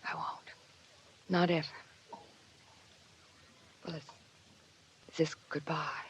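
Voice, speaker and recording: An actress playing a heartbroken, sentimental woman in a 1940s radio drama, breathless, pleading and halting. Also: deep voice, steady tone. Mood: tender, wistful. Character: heartbroken, sentimental married woman clinging to lost love. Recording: muffled, slightly noisy 1940s radio broadcast.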